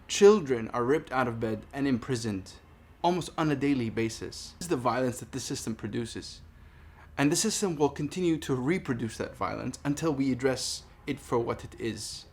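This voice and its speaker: A man in his thirties from Palestine speaking in a personal monologue, earnest, deliberate and emphatic. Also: mid-range voice, expressive, husky. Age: 30s. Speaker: vlogger making a response video. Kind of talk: personal monologue addressed to camera.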